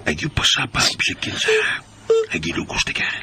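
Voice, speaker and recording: A man's voice: a little fast, pushed and bright, a little hiss or hum. A man playing a menacing, threatening attacker in a radio drama.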